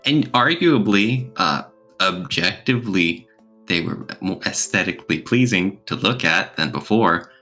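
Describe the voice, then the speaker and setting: A man speaks as a podcast co-host in casual, opinionated discussion. His voice sits fairly low yet has a very bright, forceful edge. Faint music runs underneath. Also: lively intonation, measured pace.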